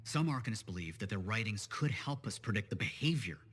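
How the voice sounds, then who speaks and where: Theatrical, low-pitched scholar. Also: male voice, animated, a little fast, fairly bright tone, breathy edge. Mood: enthusiastic, mysterious. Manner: theatrical, pompous, precise. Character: eccentric, dramatic male scholar researcher. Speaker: video game character. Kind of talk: acted game dialogue.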